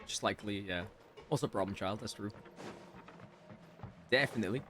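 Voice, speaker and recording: A man's voice: on the low side, normal speed, fairly bright tone, a little hiss or hum. A man giving casual live commentary on a game, playful and reactive, with muttered asides. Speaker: game streamer.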